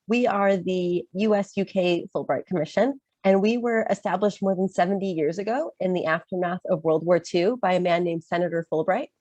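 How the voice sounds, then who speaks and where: A woman's voice: lowish voice, darkish tone, no room sound. A woman in her thirties from Chicago with an American accent, presenting an informational webinar while recovering from a cold, with frequent um fillers. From Chicago, USA. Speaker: study-abroad advisor, webinar presenter.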